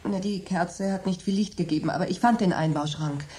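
A woman's voice: lowish voice, dark tone, a little hiss or hum, natural voice. An actress playing a tough, matter-of-fact woman in a radio drama. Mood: shaken. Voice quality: brisk, matter-of-fact delivery. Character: tough, sharp-tongued woman named Margaret. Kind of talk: radio play acting.

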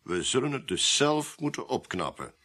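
A man acting in a Dutch radio drama as a gruff, cynical police inspector with a blunt, curt way of talking. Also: mid-range voice, big pitch swings, moderate pace, bright, forceful tone, full character voice. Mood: grim, confidential. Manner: blunt, curt, matter-of-fact.

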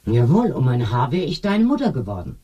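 An older village woman in a radio drama, chatty and familiar. Her low voice is husky and soft-edged, with very lively intonation. She rambles through her memories like a practised gossip. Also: fairly quick, muffled recording, heavily acted. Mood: reminiscing, chatty. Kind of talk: German radio drama acting.

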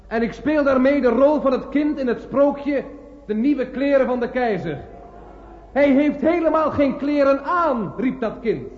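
Politician speech in radio play, really high voice, big echo, hissy dull recording. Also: male voice. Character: plain-speaking member of parliament addressing the House. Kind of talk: radio drama oratory. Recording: echoey hall, dull noisy old recording.

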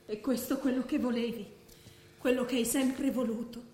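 An echoing stage space surrounds an actress as a haunting inner voice. She is fairly high and mellow in tone, narrating poetically to the one she addresses, in radio-theatre style. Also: steady tone, moderate pace, close to an everyday voice. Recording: roomy, echoing stage recording.

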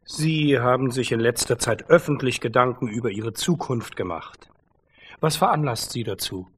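An actor playing a serious, probing reporter who puts formal interview questions in a radio play. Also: male voice, mid-range voice, moderate pace, a little hiss or hum, close to an everyday voice.

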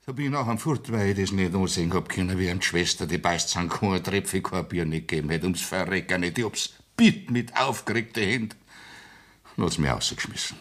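An actor playing a loyal, hearty drinking friend in a 1960s radio play, excitable. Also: male voice, low-pitched, a little fast. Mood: agitated. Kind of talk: 1960s radio drama acting.

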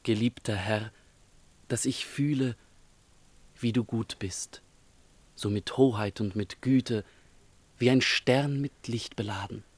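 Reverent young chamberlain, dry sound. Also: male voice, medium pitch, no echo. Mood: fervent, reverent. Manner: measured, deferential, solemn. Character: devoted young chamberlain, reverent, earnest, eager to serve. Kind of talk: theatrical German verse radio drama acting.

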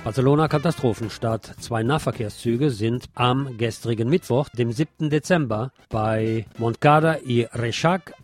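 A man reads scripted news as a radio presenter in a medium-pitched voice. He slips in wry asides between the facts. Music plays faintly behind him. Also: normal speed, hardly any pauses.

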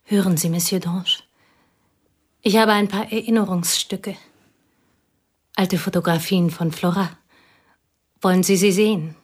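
An actress playing a provincial woman recalling an old school friend, speaking quietly and wistfully in a radio drama. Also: fairly low pitch, fairly even intonation, unhurried, pauses often, slightly rough. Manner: quiet, wistful, partly whispered.

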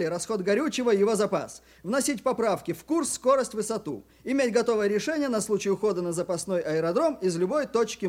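An actor playing a young aviation student in an audio drama, reciting earnestly and precisely. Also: male voice, sits high, a little mellow. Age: young adult.

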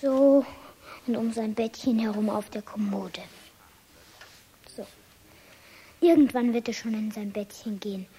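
Casting: small girl, high voice, affectionate, eager and headstrong, children's radio play. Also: a little slow, long pauses, warm tone, breathy edge. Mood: hushed, coaxing. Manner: pleading, childlike.

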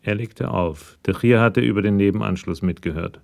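An actor narrating a radio crime story in a measured, matter-of-fact way. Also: male voice, fairly low pitch, vocal fry, darkish tone. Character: sober male narrator of a police crime story.

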